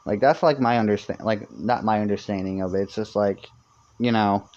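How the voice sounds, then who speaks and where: A young man with a voice he calls high-pitched, chatting casually and rambling on a live stream, full of fillers and restarts. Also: normal speed, darkish tone, dull audio.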